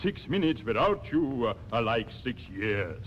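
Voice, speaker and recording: A man's voice: mid-range voice, very animated, unhurried, slight background noise. A pompous playwright in a 1940s radio comedy. He is gushing, insistent and oblivious, with widely swooping theatrical delivery. The broadcast sounds muffled and dated. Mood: smug, confiding. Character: self-important, oblivious male playwright, fussy and gushing.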